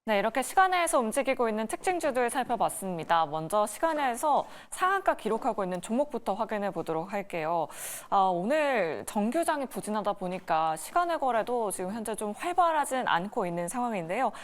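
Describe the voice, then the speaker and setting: A woman's voice: a little high, big pitch swings, brisk, few pauses. A woman hosting a stock market broadcast, talking conversationally and politely putting questions to a guest. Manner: polite, inquiring. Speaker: host of a stock market show.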